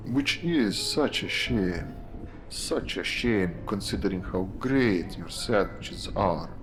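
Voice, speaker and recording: Low, lilting, chatty deputy man acting. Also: lively intonation, slow pace, over music, rough recording. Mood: mock regretful. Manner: chatty, ingratiating. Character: chatty, hungry deputy sidekick. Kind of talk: scripted audio drama.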